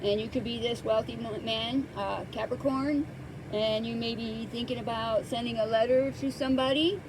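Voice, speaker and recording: A woman hosting a casual tarot card reading, soft-spoken and hesitant, with many pauses and fillers, close to the microphone. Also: a little high, fairly even intonation, noisy background.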